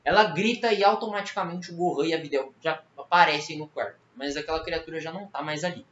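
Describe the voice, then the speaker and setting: A man's voice: fairly high pitch, fast talker, fairly bright tone. A man with a Brazilian Portuguese accent telling a story as a casual, slangy recap. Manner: colloquial, slangy. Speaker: video creator recapping a fan horror series. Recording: roomy echo, muffled, faint noise.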